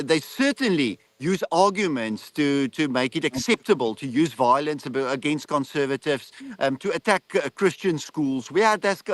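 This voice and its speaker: South African man with a higher-set voice. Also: a bit bright, no room sound. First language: Afrikaans. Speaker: interview guest, political commentator. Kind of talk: conversational political interview.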